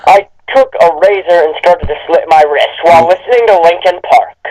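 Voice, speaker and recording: A man's voice: on the high side, a little fast, a little mellow, poor audio. A man co-hosting a comedic reading, reciting a story and adding sarcastic, incredulous asides. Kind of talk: dramatic reading with mocking asides.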